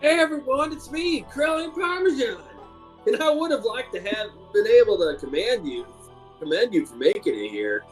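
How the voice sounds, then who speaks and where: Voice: medium pitch, sing-song, moderate pace, soft background music, natural voice, low-quality recording. A performer voicing a gleeful, taunting theatrical villain in an amateur comedic game fandub. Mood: gleeful, grandiose. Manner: mock-cheerful, grandiose, mocking.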